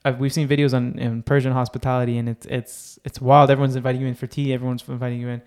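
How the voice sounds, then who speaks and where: A young man talks in an easy, unhurried podcast chat. His voice sits at an ordinary middle pitch. He gets excited and doubles up his words to stress a point. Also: clear, resonant tone. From Israel. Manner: enthusiastic, repeats words for emphasis. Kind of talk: casual chat.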